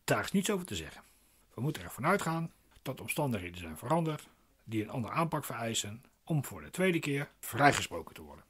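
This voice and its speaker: Acteur als schorre, belerende uitlegger met grillige intonatie. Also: male voice, mid-range voice, very animated, fairly quick, a bit bright, husky. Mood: insistent explaining. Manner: didactic, insistent, emphatic. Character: male court painter, glib, pedantic explainer of legal procedure. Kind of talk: radio play acting.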